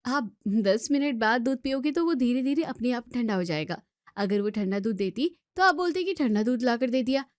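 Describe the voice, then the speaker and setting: Woman acting as outspoken young daughter in a hindi family drama, highish voice, close dry sound, argues back hard. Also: lively intonation, normal speed, no echo, close to an everyday voice. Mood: indignant, reasoning. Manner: scolding, argumentative, emphatic rhetorical questions. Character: outspoken young student daughter defending her sister-in-law.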